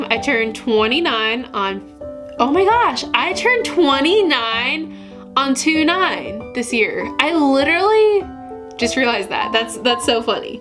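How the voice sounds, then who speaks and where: A woman in her late twenties talking in a chatty, upbeat way in a casual personal vlog, often laughing as she tells her story. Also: high voice. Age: 20s. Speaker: vlogger. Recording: music bed under the voice.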